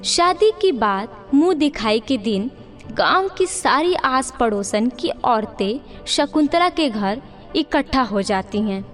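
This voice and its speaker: A woman narrates a cartoon moral story from a script. Her voice is very high and her delivery is slow, with background music running beneath. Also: lively intonation.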